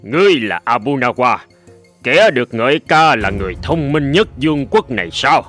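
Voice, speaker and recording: A man's voice: mid-range voice, expressive, moderate pace, fairly bright tone, soft background music, close to an everyday voice. A man playing a haughty, menacing middle-aged king in a cartoon folk tale. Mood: imperious.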